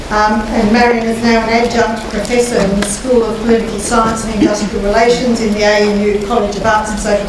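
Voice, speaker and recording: An Australian woman politely introduces a guest speaker with prepared remarks. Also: fairly high pitch. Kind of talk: formal introduction. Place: large lecture hall. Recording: echoey hall, mic far off, noisy.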